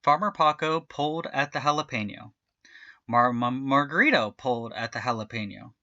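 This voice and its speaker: Male storybook reader: bright, forward voice, animated delivery, dry and slightly dull recording. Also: medium pitch, normal speed, no room sound. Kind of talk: animated children's read-aloud narration.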